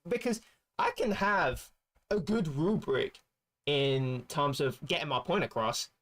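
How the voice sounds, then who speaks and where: A man's voice: on the high side, animated, measured pace, fairly bright tone. A man chatting casually on a stream, rambling and restarting his sentences as he searches for words. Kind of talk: casual chat while gaming.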